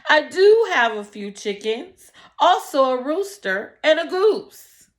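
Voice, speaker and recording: Storybook role: desperate poor village man played by a woman, loud, pleading, pitched up and swooping. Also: very animated, slow pace, full character voice. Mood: eager, obliging. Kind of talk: storybook read-aloud.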